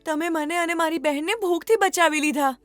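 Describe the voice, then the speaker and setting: Lady doing a sweet innocent little cartoon girl, really high voice, earnest, music behind. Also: brisk, no echo. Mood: grateful, excited. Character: kind, innocent, poor young girl. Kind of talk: children's cartoon story acting.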